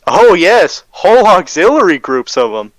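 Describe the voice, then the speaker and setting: A man's voice: high-pitched, big pitch swings, moderate pace. Folksy 1920s radio interviewee acted by a man. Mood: matter-of-fact. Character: folksy man recounting his undercover time in a hate group.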